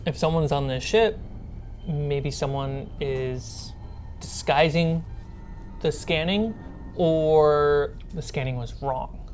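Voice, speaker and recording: A woman narrating as game master in a tabletop role-playing game, halting mid-sentence, with music behind her. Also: low voice, darkish tone. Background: background music.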